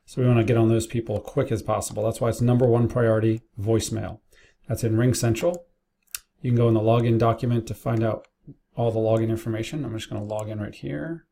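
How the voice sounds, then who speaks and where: Man, very dark mellow tone, some vocal fry, casual software walkthrough, informal step-by-step explaining, staff training. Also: on the low side, fairly even intonation, fast, a little hiss or hum. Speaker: business owner training staff. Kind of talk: casual screen-share tutorial narration. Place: indoors at a computer, keyboard and mouse clicks.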